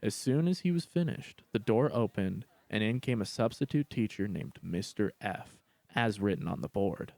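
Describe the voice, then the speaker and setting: Low-voiced male story narrator. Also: expressive, moderate pace, gravelly, a little mellow, no echo.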